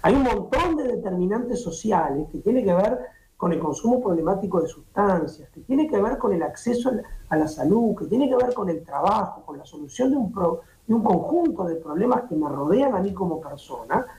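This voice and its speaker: Uruguayan man explaining, lively pitch. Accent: Uruguayan Spanish. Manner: measured explaining, with frequent restarts and fillers. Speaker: medical doctor, guest. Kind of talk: interview on a streaming show. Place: indoor home office. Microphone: laptop or webcam on a video call. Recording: echoey video-call audio.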